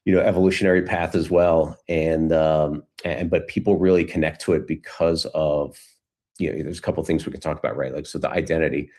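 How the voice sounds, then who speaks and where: A man's voice: a bass voice, steady tone, fairly quick, darkish tone. A middle-aged man talking casually as an interview guest, telling stories in a rambling way and often restarting his phrases. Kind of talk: casual conversational storytelling.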